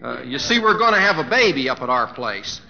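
A humble young cowboy entertainer with a Western American drawl, halting and folksy, his voice swinging widely in pitch. It is an old radio play, muffled and washed in long reverb. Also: big pitch swings, gravelly, bright, forceful tone, heavily acted. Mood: nervous, folksy. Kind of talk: 1950s radio drama acting. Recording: muffled, reverberant 1950s radio recording with music.

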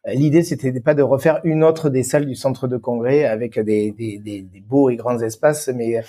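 A mellow-voiced man with a regional French accent, answering as a guest on a business podcast, circling back over his phrases as he searches for words. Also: mid-range voice, moderate pace. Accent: French, non-Lyon regional. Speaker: interview guest, event venue professional. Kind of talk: conversational explanation in an interview.

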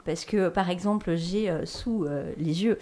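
A woman with a fairly low, mellow voice leads an interview. She steers the talk with prompting questions to the band members. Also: a little slow. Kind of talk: radio-style interview.

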